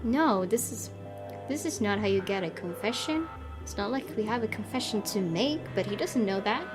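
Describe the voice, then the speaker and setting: A woman with a medium-pitched voice hosts a gaming video. She reads the story dramatically and adds casual commentary, with music clearly playing behind her. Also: animated, normal speed.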